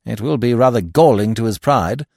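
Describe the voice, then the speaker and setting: A man's voice: medium pitch, normal speed, vocal fry, darkish tone, no echo, studio quality, voice pushed up. A man voicing a brash, blunt, loyal secretary in an audiobook mystery, speaking in slangy, emphatic, indignant bursts. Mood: firm, protesting. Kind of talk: acted dialogue in audiobook mystery.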